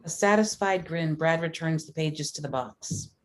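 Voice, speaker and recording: Low-pitched woman reading screenplay directions. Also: moderate pace. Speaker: reader of stage directions. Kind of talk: scripted screenplay narration.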